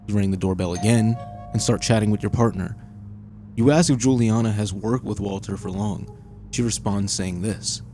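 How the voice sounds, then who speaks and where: Man, scripted horror narration, suspenseful storyteller, dark mellow tone, lowish pitch. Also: moderate pace, faint music behind. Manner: suspenseful second-person storytelling. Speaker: narrator of a video essay on horror games.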